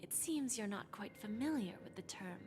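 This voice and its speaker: An actress voicing a smooth-talking woman who runs a wish-granting pawn shop in a video game, speaking in a coaxing, often whispered manner. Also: medium pitch, expressive, normal speed, background noise, close to an everyday voice. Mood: sly. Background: game music.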